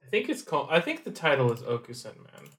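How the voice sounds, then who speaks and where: A man's voice: a little high, wildly swooping pitch, fast talker, fairly bright tone. A man co-hosting a podcast, chatting in an easygoing, hesitant way with ums. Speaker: podcast co-host. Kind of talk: casual chat.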